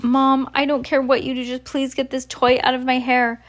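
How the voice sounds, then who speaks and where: A woman's voice: fairly high pitch, moderate pace, natural voice. A woman voicing a dramatic, easily exasperated young girl with whiny outbursts while reading a children's storybook aloud. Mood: pained.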